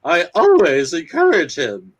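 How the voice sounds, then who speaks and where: A woman's voice: fairly low pitch, wildly swooping pitch, measured pace, lo-fi sound, exaggerated voice. Woman playing a doting, genteel middle-aged mother. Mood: proud, polite. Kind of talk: amateur dramatic reading of a 1950s romance comic.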